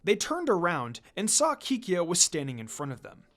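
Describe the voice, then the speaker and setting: Someone reading a story out loud, dramatic homemade narration, pitch moves a lot. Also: huge pitch swings, moderate pace. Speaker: story reader.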